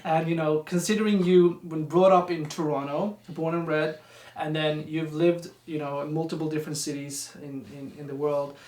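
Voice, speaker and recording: Swedish accent, native Swedish speaker, calm, asks questions, casual co-host, echoey room, distant mic. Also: medium pitch, normal speed, few pauses. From Sweden. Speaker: vlog co-host. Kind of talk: casual sit-down chat.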